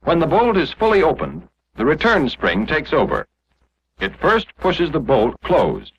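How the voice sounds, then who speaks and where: A man's voice: on the high side, wildly swooping pitch, unhurried. Muffled 1940s training film narrator, male. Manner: didactic, clearly explanatory, step by step. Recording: muffled, dull 1945 film soundtrack.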